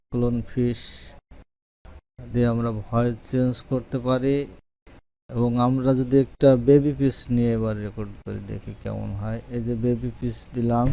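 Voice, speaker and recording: A man gives an informal, halting, explanatory software tutorial over a screen recording. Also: mid-range voice, vocal fry, a little hiss or hum. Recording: echoey room, distant microphone at times.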